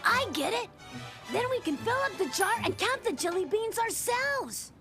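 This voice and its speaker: A woman's voice: extremely high pitch, very animated, moderate pace, over music, full character voice. A woman voicing a sensible, doubtful little girl, a sidekick friend in a children's cartoon, with a matter-of-fact manner. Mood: helpful.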